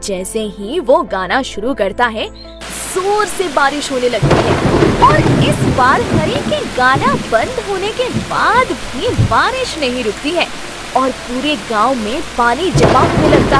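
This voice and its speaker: A woman's voice: sits high, sing-song, slow pace. A woman narrating a scripted children's story as its storyteller. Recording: loud music under the voice.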